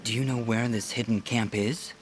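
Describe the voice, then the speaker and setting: A man's voice: mid-range voice, steady tone, normal speed, close to an everyday voice. A man voicing an earnest, determined young warrior in a video game, with a direct way of talking. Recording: music and rain behind.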